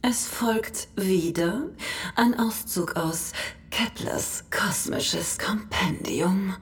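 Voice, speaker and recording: An actress playing the solemn, formal narrator of a cosmic encyclopedia in a sci-fi radio play. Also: female voice, mid-range voice, extremely slow, whispered. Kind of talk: scripted mock-documentary narration in a radio play.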